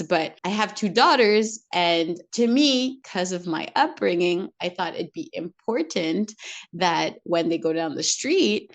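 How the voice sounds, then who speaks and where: A middle-aged woman speaking candidly and reflectively in an interview, laughing now and then between points. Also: on the low side. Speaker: guest. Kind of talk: candid personal conversation. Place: home in Montreal.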